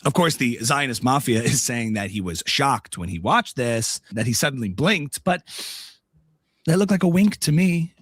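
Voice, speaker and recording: A man's voice: medium pitch, expressive, normal speed, husky, no room sound, studio quality. A man playing a smug, suspicious female conspiracy podcaster, repeating phrases insistently in a mock-dramatic commentary. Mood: knowing, suspicious. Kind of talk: satirical impersonation of a commentary podcast.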